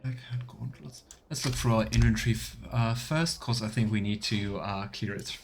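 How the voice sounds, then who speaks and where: A man giving casual let's play commentary as a streamer, halting and murmuring to himself as he thinks aloud. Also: fairly low pitch. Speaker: streamer playing a video game. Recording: clear background noise, keyboard clicks.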